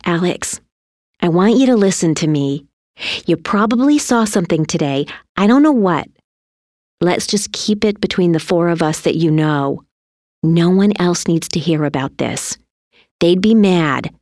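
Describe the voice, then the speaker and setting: A woman voicing a gentle, soothing mother who explains softly and hesitantly in an audiobook story. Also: lowish voice, animated, measured pace, no room sound, studio quality. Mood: gentle, apologetic.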